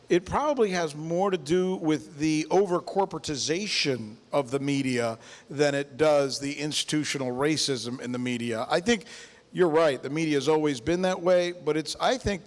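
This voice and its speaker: Higher-pitched man debating in a hall. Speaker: panelist, journalist. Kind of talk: panel discussion, argumentative. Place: event hall panel. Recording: reverberant hall.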